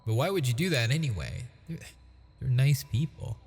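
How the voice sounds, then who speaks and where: A man voicing a gentle, teasing male ghost who speaks softly and intimately in an ASMR audio roleplay, chuckling often. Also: mid-range voice, sing-song, moderate pace, gravelly, slightly rough, heavily acted. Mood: musing.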